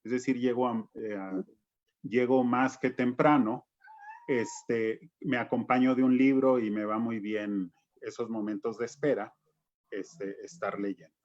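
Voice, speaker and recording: A man's voice: mid-range voice, fairly even intonation, unhurried, a little mellow. Man, muffled call audio. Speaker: panelist talking about favorite books. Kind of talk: casual anecdotal talk at a round table. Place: at home, remote call.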